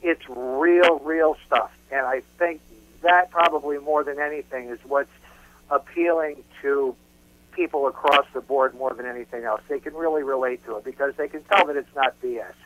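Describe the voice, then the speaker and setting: A man's voice: mid-range voice, normal speed, a bit bright. A man speaking as a musician guest in a casual radio interview, emphatic and often repeating his phrases for weight. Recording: dull, noisy phone-like radio line.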